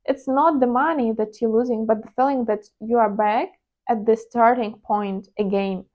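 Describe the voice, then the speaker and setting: Woman, Ukrainian accent, confessional vlog monologue, fairly high voice, dull lo-fi sound. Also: fairly even intonation, normal speed. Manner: candid, confessional, with hesitant fillers. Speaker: trading vlogger. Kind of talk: personal reflective monologue.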